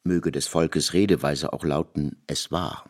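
A deep, husky, incantatory narrator. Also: male voice, steady tone, unhurried, darkish tone. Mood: solemn, incantatory. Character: solemn male narrator intoning myth like a priest. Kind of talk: literary radio drama narration.

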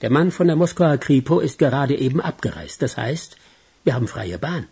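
Wary gangster for radio thriller: heavily rasped, mid-pitched voice, terse urging. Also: male voice, big pitch swings, moderate pace, warm tone, full character voice. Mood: wary, insistent. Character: wary, businesslike male criminal urging his partner on. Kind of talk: German radio play acting.

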